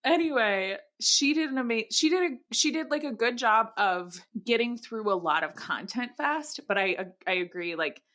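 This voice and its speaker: A woman's voice: on the high side, animated, normal speed, few pauses, a bit bright. Chatty woman co-hosting a podcast. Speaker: podcast co-host. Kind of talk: casual book discussion.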